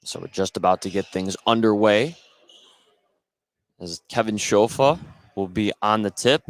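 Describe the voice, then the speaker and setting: A man's voice: lowish voice, measured pace. A man working as a play-by-play sportscaster, giving live basketball commentary. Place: indoor high school gym.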